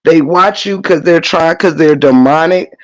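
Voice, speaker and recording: A man whose voice is worn down and hoarse, and a little stuffy, talks on his own livestream and reads out chat comments. His voice sits a bit high for a man. He rants with heavy swearing and hammers his points again and again. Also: measured pace. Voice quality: profane, emphatic ranting with repetition. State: hoarse, losing voice; congested. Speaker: livestream host. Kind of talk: casual livestream talk, reading chat.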